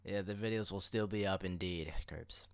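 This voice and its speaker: A man's voice: low voice, fairly even intonation, normal speed, clear, resonant tone, no echo. A man co-hosting a podcast in casual conversation, explaining things in an informal, rambling way. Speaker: podcast co-host.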